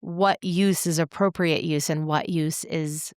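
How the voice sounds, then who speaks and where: A woman co-hosting a podcast, talking reflectively in an earnest, deliberate way with emphatic phrasing and pauses. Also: deep voice, steady tone, darkish tone, clear, resonant tone, dry recording, clean studio sound. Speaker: podcast co-host.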